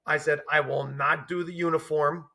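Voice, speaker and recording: Guest spot: fifties man, Jersey-raised, Sicilian-Italian home tongue, wry deliberate storyteller, slightly high voice. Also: steady tone, creaky, bright, forceful tone. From New Jersey, US. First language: Italian and Sicilian dialect. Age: 50s. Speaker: podcast guest, comedian. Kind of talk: casual conversational storytelling.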